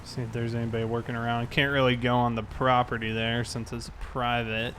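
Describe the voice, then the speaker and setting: A man chatting casually and thinking aloud in a halting way as he livestreams a police roleplay game. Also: mid-range voice, level tone, a bit bright, slight background noise.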